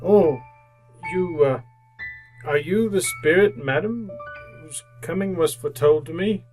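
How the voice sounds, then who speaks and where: An actor playing a frightened, querulous old miser in an old-time radio drama, hesitant and pleading, often repeating himself. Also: male voice, fairly high pitch, measured pace, darkish tone, faint music behind, close to an everyday voice, poor audio.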